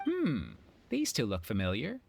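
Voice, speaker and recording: Wildly animated, teasing young man. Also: medium pitch, measured pace, gravelly, slight background noise, exaggerated voice. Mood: teasing, upbeat. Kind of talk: video game voice acting.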